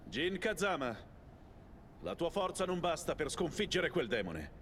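An actor voicing a grave, earnest ally giving solemn counsel in a game cutscene, with deliberate delivery. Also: male voice, on the high side, sing-song, full character voice. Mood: grave, urgent. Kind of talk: video game cutscene acting. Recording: clear background noise.

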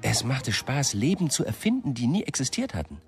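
A man's voice: mid-range voice, sing-song, brisk, darkish tone, faint music behind. An actor playing a brooding, self-doubting male writer and storyteller in a German radio play. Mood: animated storytelling.

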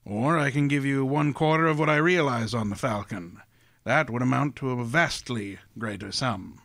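An actor playing a genteel, scheming older crime boss in a radio drama, speaking in a courtly, grandiloquent, relishing way. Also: male voice, mid-range voice, normal speed, fairly bright tone, natural voice. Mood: smoothly bargaining. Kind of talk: hardboiled detective radio drama acting.

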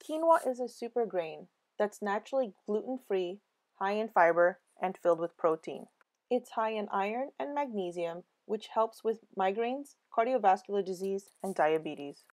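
A woman giving a scripted cooking voice-over, calm clear step-by-step instructions for a home recipe. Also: medium pitch, moderate pace. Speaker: cooking channel host.

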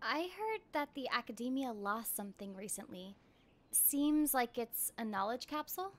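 A woman's voice: fairly high pitch, expressive, normal speed, a little hiss or hum. A young woman casually commenting on a game stream, playful, reading dialogue aloud and mimicking characters. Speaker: streamer, voice actor. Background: game music.

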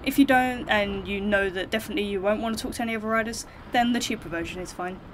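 A woman's voice: medium pitch, quick pace, a bit bright. British woman, casual explainer, some background noise. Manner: informal, frank, hedged opinions. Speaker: motorcycle vlogger giving buying advice.

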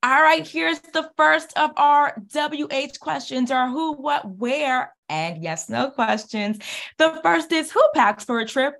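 An American woman in her thirties speaking in an expressive, encouraging teacher manner, as if reading aloud to young children. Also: high voice, takes it slowly, gravelly. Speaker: speech pathologist and children's author. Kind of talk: children's read-aloud with questions. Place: small indoor office, on a video call.

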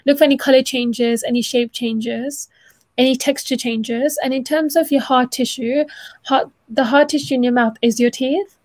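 A woman's voice: high voice, fairly even intonation, moderate pace, a little mellow, no room sound. A young woman teaching informally on a video call, chatty and encouraging, often rephrasing as she explains. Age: young adult. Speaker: student presenter of an online dental anatomy session. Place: small room at home, indoors.

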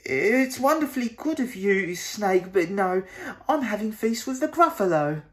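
A small, cheeky storybook mouse, acted out by a man, speaks in a raised, very lively pitch. It is courteous and fond of bragging. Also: measured pace, exaggerated voice. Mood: polite. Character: small brown mouse, polite, cheeky and boastful.

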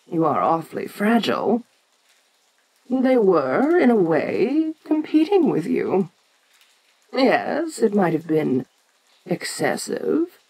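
A woman playing an ancient, imperious kaiju giantess in an audio roleplay, speaking formally and with deliberate, musing condescension. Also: medium pitch, mellow, husky, heavily acted, rough recording. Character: imperious ancient female kaiju giantess, haughty, curious, domineering. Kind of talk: audio roleplay addressed to the listener.

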